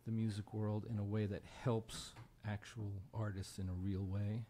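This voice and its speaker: A man's voice: on the low side, little pitch movement, normal speed, warm tone, slight background noise. A man, a guest folk musician, talking casually and earnestly in a plain-spoken way as he introduces a song. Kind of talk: casual talk introducing a song at a live show.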